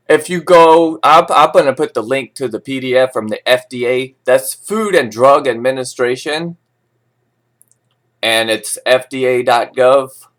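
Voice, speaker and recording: A man's voice: mid-range voice, fairly bright tone. A man with a mid-range voice talks in a loose, informal vlog style. He moves between reading news items aloud and commenting on them in his own words. His delivery wanders and is openly opinionated. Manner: informal, digressive, opinionated asides. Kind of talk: casual rambling talk mixed with read-out news.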